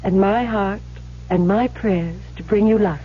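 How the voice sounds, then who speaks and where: An actress playing a devoted, encouraging young bride-to-be in a radio drama, ardent, coaxing and theatrical. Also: female voice, mid-range voice, unhurried, lightly acted. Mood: ardent, urging. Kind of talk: old-time radio drama acting. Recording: muffled, lightly noisy old radio broadcast.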